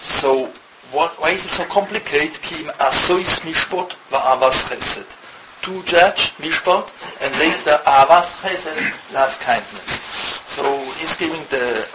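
Rabbi from Switzerland, halting second-language English, reads Hebrew fast; muffled recording with loud hiss. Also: male voice, fairly high pitch. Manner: halting non-native English, rapid Hebrew reading. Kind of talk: Torah lecture, reading Hebrew text then translating.

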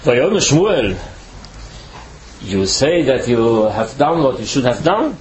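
A man from Switzerland, not a native English speaker, giving a Torah lecture as a rabbi in halting, careful English. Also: mid-range voice, sing-song, darkish tone, slight background noise, poor audio.

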